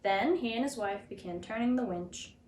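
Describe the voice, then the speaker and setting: A woman's voice: fairly high pitch, normal speed, a little mellow. Woman reading a storybook in echoey room. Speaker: storybook reader. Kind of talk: expressive children's story narration. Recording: echoey room, faint background noise.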